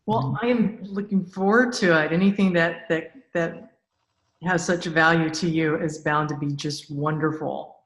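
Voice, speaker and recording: A woman's voice: low-pitched, steady tone, a little slow. A woman hosting a podcast interview, speaking conversationally in an appreciative, encouraging way. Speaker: podcast host, author. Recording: roomy, echoey sound.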